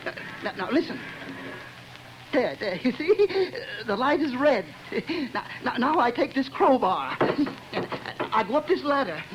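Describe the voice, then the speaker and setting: A high, jumpy, giggly mad bomber, stutters like a kid, old noisy radio sound. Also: male voice, very animated, measured pace, fairly bright tone, exaggerated voice. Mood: gloating. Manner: stammering, giggling, childlike. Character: unhinged male bomber, giggling and stammering. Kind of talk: 1930s radio drama acting. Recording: old radio recording with noise.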